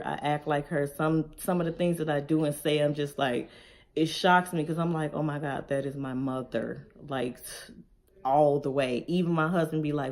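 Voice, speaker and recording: Woman, 40s, low-pitched, relaxed conversational vlogger reading and answering questions. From Chicago, Illinois. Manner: relaxed, conversational, reads each question aloud then answers. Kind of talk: casual Q&A tag video.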